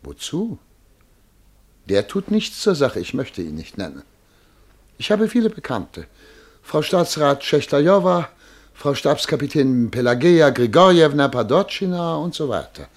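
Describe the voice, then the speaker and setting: Husky, flustered, pompous petty official. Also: male voice, fairly high pitch, very animated, heavily acted. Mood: evasive, self-important. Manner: pompous, halting, pleading. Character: flustered, indignant, self-important male petty official. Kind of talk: German radio play acting.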